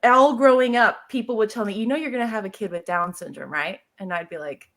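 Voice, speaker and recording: A woman's voice: fairly high pitch, a little fast. An American woman speaking as a podcast guest, telling stories casually with emphatic delivery and joking asides. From Seattle area, United States. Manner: animated, emphatic, jokey asides with acted-out quotes. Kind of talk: casual conversational storytelling.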